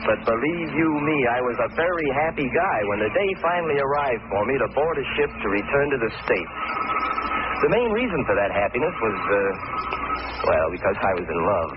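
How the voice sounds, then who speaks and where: Bitter, agitated young man played by a male actor for an old radio drama; animated pitch, muffled noisy recording. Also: fairly quick, lightly acted. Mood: bitter, wistful. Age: young adult. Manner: wry, agitated, self-pitying. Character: bitter, jilted young man, paranoid, drinking heavily. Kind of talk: 1940s radio drama acting, first-person narration.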